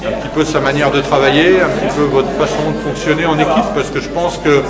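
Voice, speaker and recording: A man's voice: on the high side, fairly even intonation, fast, loud music behind the voice. A man interviewing guests at an exhibition opening in a polite, congratulating way. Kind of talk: friendly reportage interview. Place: crowded gallery cellar. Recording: echoey hall with a crowd behind.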